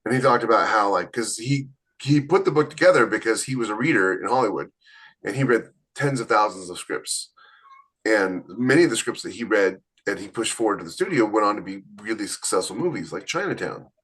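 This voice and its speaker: An emphatic man with a low-pitched voice, co-hosting a relaxed podcast chat about writing. He lays out his points firmly, like someone giving advice. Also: fairly quick, breathy edge, low-quality recording. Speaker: podcast co-host. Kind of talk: casual panel chat.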